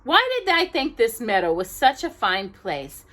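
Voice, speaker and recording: A childlike little locomotive played by a woman reading a storybook speaks in a raised, very animated voice. The young engine is eager and easily frustrated, putting great emphasis on its exclamations. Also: a little high, measured pace, full character voice. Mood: frustrated, muttering.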